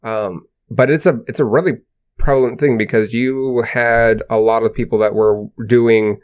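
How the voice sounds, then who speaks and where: A man's voice: mid-range voice, fairly even intonation, moderate pace, darkish tone. A man with a lisp chatting casually as a podcast co-host.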